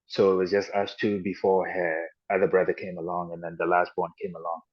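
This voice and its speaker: Deep-voiced man telling his story haltingly. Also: flat delivery. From Ghana and the United States. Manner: halting and reflective, with frequent restarts and "you know". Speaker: podcast guest. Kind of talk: personal storytelling in an interview. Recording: dull lo-fi audio.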